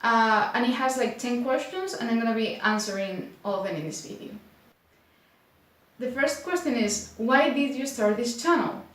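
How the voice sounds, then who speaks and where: A young woman from Spain speaking English with a Spanish accent, chatting casually to camera in an unhurried way, full of fillers like "like". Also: fairly high pitch, lively intonation, a bit bright. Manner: unhurried, many filler likes, slightly unsure. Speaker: booktuber. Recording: very echoey room.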